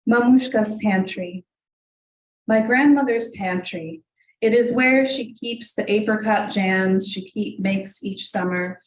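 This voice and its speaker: A first-generation Hungarian-American woman, a native Hungarian speaker, reads her poems aloud in a measured, deliberate way, then adds loose asides full of ums. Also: mid-range voice. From United States, Hungarian family. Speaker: poet reading her work. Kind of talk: live poetry reading with spoken asides. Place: reading room of a library, indoors. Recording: echoey room, voice from far off, faint hiss.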